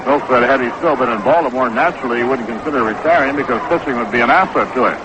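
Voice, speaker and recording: A man's voice: medium pitch, fast talker. An American man calling baseball play-by-play in the style of 1960s radio sports commentary. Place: stadium broadcast booth. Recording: dull, noisy 1960s AM radio broadcast.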